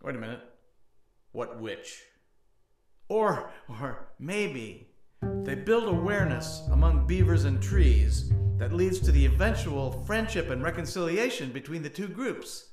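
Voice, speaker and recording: A man in his sixties narrating a fairy tale theatrically and deliberately, with long pauses. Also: animated. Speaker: storyteller and musician. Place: indoor room with paintings. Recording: echoey room, loud bass music. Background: plucked and bowed double bass.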